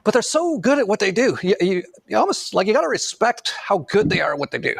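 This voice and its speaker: A man with a noticeably high voice talks in a loose, casual commentary style. His delivery is gravelly with vocal fry and rambles along sardonically. The sound is very dry. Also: big pitch swings, fast, dry recording. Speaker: online commentator.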